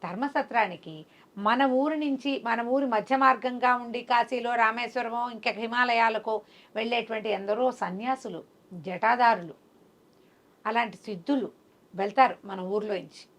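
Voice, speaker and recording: A woman's voice: a little high, normal speed, a bit bright. A woman storyteller voicing a prince's loyal young male friend in a folk tale, reasoning things out helpfully.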